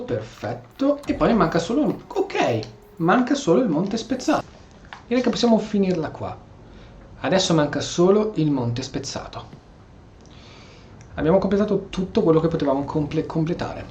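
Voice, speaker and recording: A man's voice: fairly high pitch, darkish tone. Roomy-sounding Italian man gamer. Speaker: gamer, video host. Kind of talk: casual gameplay commentary. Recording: dull, roomy sound with faint noise.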